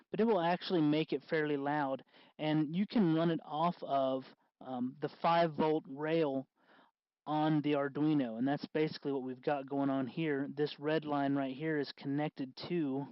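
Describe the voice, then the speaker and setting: Male tutorial host, slightly high voice, halting folksy explainer, informal, dull lo-fi sound. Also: no echo. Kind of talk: informal hands-on electronics tutorial.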